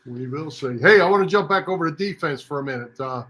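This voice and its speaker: A man's voice: a little high, animated, fast, bright, forceful tone. A man co-hosting a sports talk show, asking questions and chatting conversationally. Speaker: sports radio show co-host.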